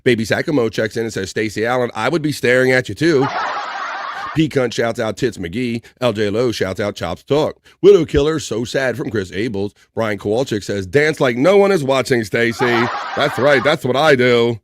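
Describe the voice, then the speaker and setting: A man's voice: medium pitch, normal speed, background noise. A man hosting a live stream, casually reading out viewer comments and joking with the audience. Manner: upbeat, joking, shouts out viewers by name. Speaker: host.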